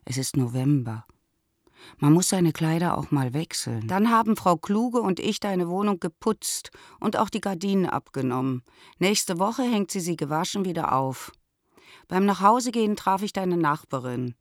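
A woman's voice: fairly low pitch, animated, no echo, clean studio sound, close to an everyday voice. Woman acting a practical, admonishing middle-aged mother. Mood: matter-of-fact. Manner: measured, matter-of-fact, gently lecturing. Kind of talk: radio play letter reading.

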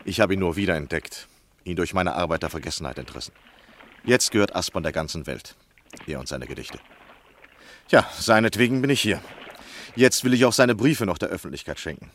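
Low-voiced, obsessed literary critic. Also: male voice, expressive, fairly quick, long pauses, fairly bright tone. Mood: fervent, secretive. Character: obsessed, cunning American literary critic, earnest and charming. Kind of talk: 1960s German radio drama acting. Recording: faintly noisy 1960 radio recording.

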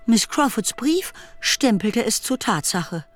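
Eine Frau erzählt als Sprecherin eines literarischen Hörspiels, getragen und ausdrucksvoll. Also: female voice, a little high, big pitch swings, slow, soft background music. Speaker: narrator. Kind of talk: scripted literary narration in a radio drama.

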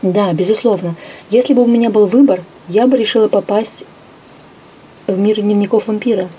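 A woman narrating a first-person story with a lively, expressive reading. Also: mid-range voice, normal speed, slight background noise, poor audio. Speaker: audiobook narrator.

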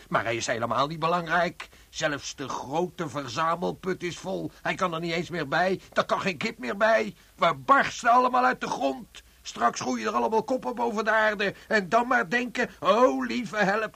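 A man's voice: high voice, vocal fry, pushed and bright, dry recording, full character voice. An actor playing a furious, rebellious man who rants bitterly and scornfully in a radio drama. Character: furious rebellious man raging against the authorities.

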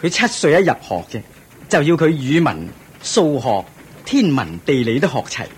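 A man's voice: on the high side, sing-song, moderate pace, a little hiss or hum. A man with a Hong Kong Cantonese accent playing a proud, doting ancient king in a radio drama, speaking in a theatrical, regal way. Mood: reflective, fond. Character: proud, doting ancient Indian king, anxious about his heir.

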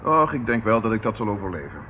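An actor playing a composed, dry, sardonic police inspector in a Dutch radio play, on a muffled, hissy old recording. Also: male voice, lowish voice, very animated, quick pace. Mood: firm, composed.

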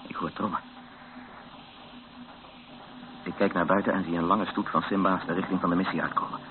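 An actor playing a weary, frightened captive who narrates a radio drama in brooding short sentences. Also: male voice, sits low, fairly even intonation, quick pace, close to an everyday voice. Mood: weary, afraid. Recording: muffled, noisy old radio recording.

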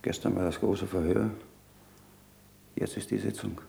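An actor playing an anxious, loyal disciple of a condemned scientist in a German radio play, speaking gravely and with measure. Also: male voice, low voice, fairly even intonation, measured pace, dark tone, heavily acted. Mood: grave, reflective.

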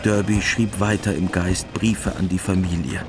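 A man narrating a scripted radio drama in a deadpan, matter-of-fact way. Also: low-pitched, moderate pace, music in the background.

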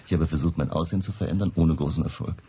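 An actor playing a weary, fearful political refugee in a German radio drama, telling his past in a halting, subdued, gravelly way. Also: very deep voice, dark tone, heavily acted. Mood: wary, resigned. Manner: halting, subdued confessional storytelling. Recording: music behind the voice.

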